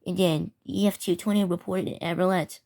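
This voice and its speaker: A woman with a fairly low voice acts as an emergency alert announcer. She reads scripted warnings and official notices in the form of a public safety bulletin. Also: fairly even intonation, a little fast, creaky, warm tone.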